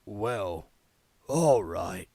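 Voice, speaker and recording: A man's voice: fairly low pitch, lively intonation, very slow, gravelly, warm tone, husky, no echo, exaggerated voice. A man voicing a reluctant, tentative bogeyman monster in a storybook reading. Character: hesitant, grudging male bogeyman monster. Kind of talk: audiobook character voice.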